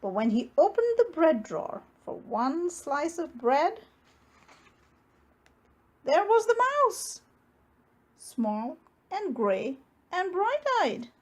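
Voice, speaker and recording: Female storybook reader: high-pitched, very lively intonation, unhurried, dramatic emphasis for young listeners. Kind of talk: expressive children's read-aloud narration.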